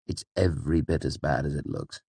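A man's voice: sits low, fairly even intonation, normal speed, gravelly, dry recording. A man voicing a tired, stoic male innkeeper with a dry, dismissive manner, in a fantasy storybook.

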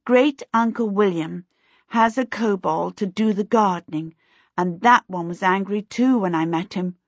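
A woman voicing an eager, earnest young heroine in a storybook, plain-spoken and quietly exasperated. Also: medium pitch, a little slow, no room sound, highs cut off. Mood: patient, exasperated.

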